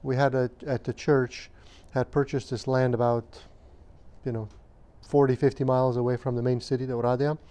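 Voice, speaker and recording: Calm, mellow-voiced man from Romania. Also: medium pitch. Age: 50s. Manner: measured, hesitant with many fillers. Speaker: interviewee. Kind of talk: recounting childhood memories.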